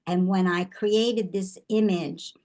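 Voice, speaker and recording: A woman's voice: mid-range voice, steady tone, takes it slowly, a little mellow. An older woman, a guest artist, giving thoughtful interview answers with frequent restarts.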